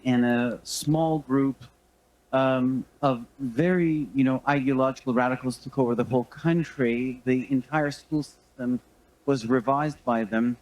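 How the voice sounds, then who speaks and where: Halting man in his fifties giving testimony. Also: medium pitch, darkish tone, rough recording. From Iran. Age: 50s. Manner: hesitant, halting, earnest. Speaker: public speaker giving testimony. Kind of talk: personal testimony.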